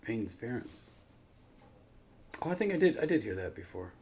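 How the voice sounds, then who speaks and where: A man hosting a reaction show with casual, conversational commentary, often trailing off quieter. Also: medium pitch, very animated, normal speed, slight background noise.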